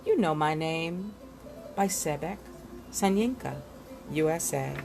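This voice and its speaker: A woman reads nonfiction aloud with a theatrical, deliberate delivery. Her pitch moves widely from phrase to phrase, and she takes her time, pausing for emphasis. Music plays faintly behind her. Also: animated, creaky. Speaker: book reader. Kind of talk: expressive read-aloud of a nonfiction book.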